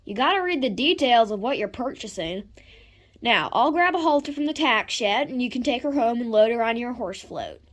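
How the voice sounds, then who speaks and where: A woman voicing a brisk country horse seller who talks in a slow, blunt, lecturing drawl. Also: fairly high pitch, animated.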